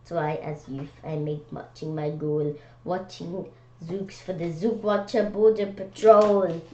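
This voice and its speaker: A woman reading a storybook aloud with casual asides, in a halting way, stumbling over and repeating words. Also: fairly low pitch, fairly even intonation, dark tone, highs cut off. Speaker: read-aloud presenter.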